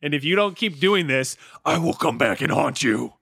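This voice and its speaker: A young man co-hosting a podcast, telling stories in a casual, animated way with lots of filler words. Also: a little high, normal speed, gravelly, dry recording, clean studio sound. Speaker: podcast co-host. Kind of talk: casual chat.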